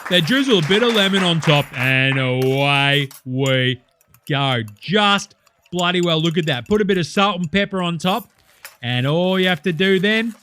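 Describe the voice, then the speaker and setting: Bloke doing a loud crude aussie cooking show host, bright forceful voice, faint hiss behind. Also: male voice, fairly high pitch, animated, normal speed. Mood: instructive. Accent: Australian. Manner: crude, boisterous, slangy, playing to a studio audience. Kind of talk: comic sketch, cooking show parody.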